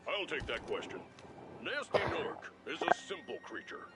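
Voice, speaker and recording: A measured dragon official waves off worries in a lively, rising-and-falling voice. He sounds distant and small, nearly buried under loud game music. His manner is dismissive, like a spokesman brushing off a question. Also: fairly high pitch.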